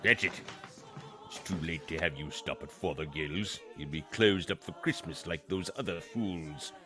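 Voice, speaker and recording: A man's voice: deep voice, moderate pace, over music, close to an everyday voice. A man playing a miserly, irritable old businessman in a radio play, curt and scornful in his delivery. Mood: grumbling, resentful. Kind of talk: theatrical radio play acting.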